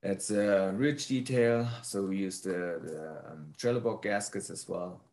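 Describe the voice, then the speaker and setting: A man presenting slides informally, speaking haltingly with frequent ums as he explains his work. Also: low voice, a little mellow. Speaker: presenter, prefab builder.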